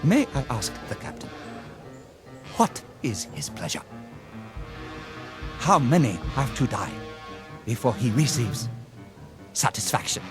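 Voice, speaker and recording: An actor playing a shaken, compassionate army lieutenant in a radio drama, hesitant, earnest and pleading. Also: male voice, mid-range voice, very animated, slow pace, pauses often. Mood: bitter, challenging. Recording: music under the voice.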